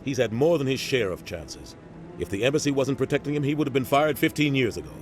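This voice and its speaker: A man's voice: medium pitch, expressive, quick pace, natural voice. Frank, worldly male official played by an actor. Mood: exasperated, blunt. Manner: matter-of-fact, explanatory, blunt. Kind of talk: video game dialogue acting. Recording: music behind the voice.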